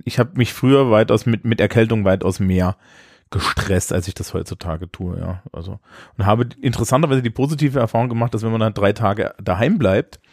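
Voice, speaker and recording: A man's voice: fairly low pitch, brisk, darkish tone, no echo, studio quality. A man co-hosting a podcast in casual chat, telling stories animatedly with frequent stumbles and repeated words. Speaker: podcast co-host.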